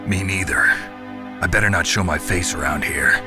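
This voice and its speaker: An actor playing a stoic, world-weary covert soldier in a video game, with terse, dry and solemn delivery. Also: male voice, low voice, normal speed, natural voice. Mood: dry, guarded. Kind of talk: dramatic video game acting. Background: music.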